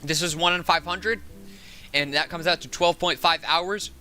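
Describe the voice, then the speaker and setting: Raspy, bright-voiced man streaming a game. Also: on the high side, steady tone, moderate pace, faint music behind. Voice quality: raspy, sore voice. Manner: rambling, thinking aloud. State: hoarse from hours of talking. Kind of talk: casual livestream chat while gaming.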